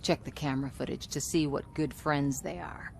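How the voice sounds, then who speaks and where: A woman's voice: low-pitched, steady tone, moderate pace, gravelly, a little mellow, slight background noise. An actress playing a scheming, manipulative woman in charge of a commercial shoot in a video game, sly and assertive. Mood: defensive, irritated. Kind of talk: video game cutscene acting.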